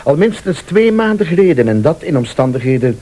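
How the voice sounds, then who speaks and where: An actor with a Flemish accent playing an insistent, accusing man in a radio play, deliberate and probing, sometimes sardonic or pleading. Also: a little high, big pitch swings, warm tone, poor audio.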